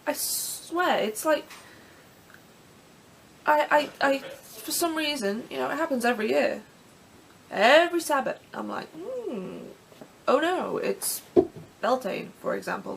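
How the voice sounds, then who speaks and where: Young woman, croaky, gravelly, slow, casual vlog chat, faint room hiss. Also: a little high. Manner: chatty, self-deprecating, many ums and restarts. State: croaky throat, coughing. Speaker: pagan vlogger. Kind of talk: casual rambling vlog answering questions. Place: bedroom in front of her altar.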